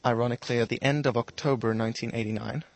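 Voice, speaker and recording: A man's voice: medium pitch, fairly even intonation, very fast, creaky, no room sound. A man, giving fluent, wry interview answers. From South Africa. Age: twenties.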